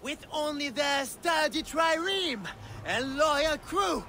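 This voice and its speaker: Grandiose storyteller, slow dramatic narration, very bright voice, game cutscene, slight noise. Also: male voice, extremely high pitch, expressive, full character voice. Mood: grand, dramatic. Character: theatrical storyteller of heroic sea legends.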